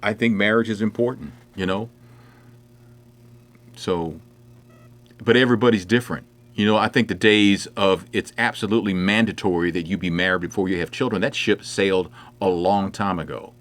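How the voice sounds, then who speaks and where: Middle-aged guy rambling on a home livestream, lowish voice, lots of "you know"s. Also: steady tone, moderate pace, fairly bright tone. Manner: conversational, rambling with many "you know" fillers. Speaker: livestream host answering viewer comments. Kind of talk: casual chat. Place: indoors at home.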